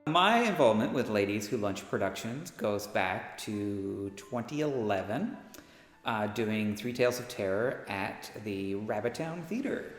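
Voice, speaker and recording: Male Foley artist, lowish pitch, informal explanatory interview, reverberant room. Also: lively intonation, unhurried, soft background music. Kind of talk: casual documentary interview. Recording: echoey room with long reverb.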